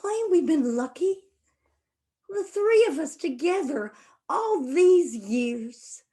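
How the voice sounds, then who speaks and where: An actress playing a wistful, emotional rural sister with a folksy Southern US drawl in a stage play. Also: female voice, extremely high pitch, very animated, slow, mellow, heavily acted. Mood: nostalgic.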